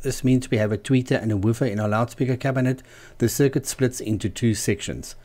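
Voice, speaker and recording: A man narrating a scripted how-to tutorial as the channel's host, in a measured, explanatory manner. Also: medium pitch, dark tone. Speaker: host of a DIY loudspeaker channel.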